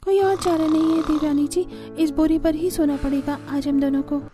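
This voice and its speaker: A woman's voice: sits high, fairly even intonation, moderate pace, music in the background, natural voice. A woman voicing a shivering, grumbling young sister-in-law from a poor cartoon household, in a plaintive manner.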